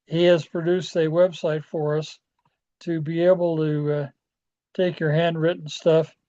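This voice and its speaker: Dark-voiced man presenting, dull lo-fi audio. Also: fairly high pitch. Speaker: amateur radio club presenter. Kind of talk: informal training presentation.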